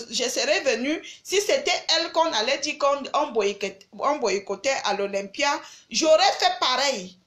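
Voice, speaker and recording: A high-voiced older woman with a cold talks to her followers in a live stream. She scolds and lectures with firm emphasis, often repeating a point to drive it home. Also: fairly bright tone. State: has a cold. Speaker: livestream host. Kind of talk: live video chat with followers.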